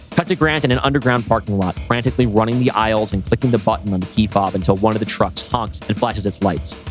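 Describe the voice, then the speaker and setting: A man reading screenplay action as scripted narration, with a dramatic, suspenseful delivery over music. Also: on the low side. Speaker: podcast host reading his script.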